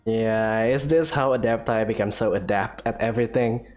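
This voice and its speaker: A woman streamer with a remarkably low voice. She comments casually on gameplay, with faint game music behind her. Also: moderate pace, darkish tone.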